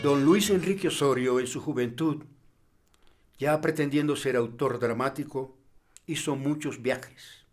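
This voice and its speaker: A man giving measured explanatory commentary in formal, deliberate phrases. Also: mid-range voice, soft background music. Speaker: commentator on a playwright's work.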